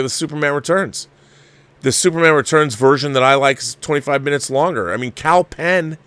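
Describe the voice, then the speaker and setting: A man's voice: mid-range voice, fairly bright tone, smooth, no echo. A man hosting a livestream, giving casual film commentary and answering viewers, repeating phrases for emphasis and often restarting his sentences. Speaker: livestream host reviewing a film.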